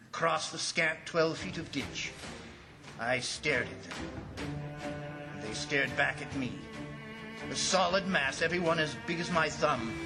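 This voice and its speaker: A man playing an anxious commissioner in an old-time radio drama, telling of horror in a dramatic, suspenseful and deliberate way. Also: on the high side. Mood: grave suspense. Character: horrified, anxious commissioner recounting an ant invasion. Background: loud dramatic music.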